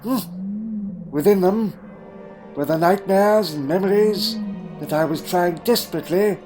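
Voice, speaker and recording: A man playing an elderly, secretive alien time traveller in a fan audio drama, telling his story gravely and deliberately with pondering hums. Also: sits high, mellow, faint music behind. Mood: haunted, uneasy.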